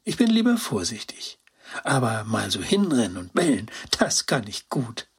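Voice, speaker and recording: An earnest young dog girl from a children's storybook, voiced by a man, speaks with childlike excitement. The voice is very breathy and husky, with lively, swinging intonation. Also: medium pitch, moderate pace, full character voice. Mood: earnest, excited. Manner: childlike, excited storytelling with naive colloquial words. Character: Gretine, young female toy-rescuing dog, earnest and compassionate.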